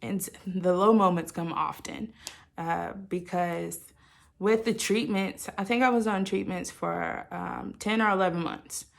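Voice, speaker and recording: A woman sharing a personal story with listeners in a candid, halting, reflective way, often qualifying what she says and voicing her own thoughts expressively. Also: lowish voice, vocal fry. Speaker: host of a personal channel.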